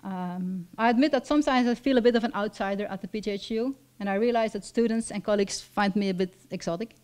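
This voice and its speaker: A woman in her fifties from Belgium with a Flemish accent, a lecturer reading a personal talk from notes at a lectern, measured and reflective, with frequent ums. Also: medium pitch, a little mellow. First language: Dutch. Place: lecture hall stage at a lectern. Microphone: stand mic at a lectern.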